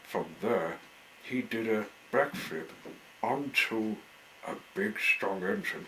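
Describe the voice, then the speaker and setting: Male children's storyteller, unhurried deliberate phrasing, crisp bright tone, faint noise in the background. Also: fairly low pitch, animated. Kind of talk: reading a children's story aloud.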